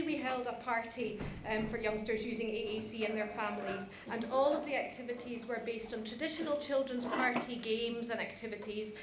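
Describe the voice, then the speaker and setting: High-voiced woman presenting in a roomy hall. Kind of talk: conference presentation. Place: large conference room. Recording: roomy, clearly noisy conference hall recording.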